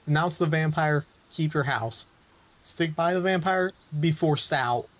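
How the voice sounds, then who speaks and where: A man's voice: a little high, unhurried, natural voice. A man playing a hostile, unyielding townsman in a fantasy roleplay audio drama, blunt and threatening.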